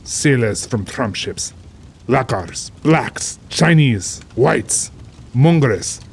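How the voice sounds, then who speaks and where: An actor playing an urbane, cold-blooded middle-aged general and big-game hunter, speaking formally with pompous, suave amusement in an audio drama. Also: male voice, medium pitch, expressive, extremely slow, creaky, slightly rough, full character voice. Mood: cold, menacing.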